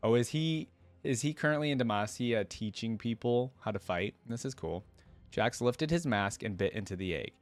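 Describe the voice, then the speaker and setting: A man hosting a reaction video, reading lore aloud and adding casual, informal asides as he thinks out loud. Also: medium pitch, animated, normal speed, soft background music.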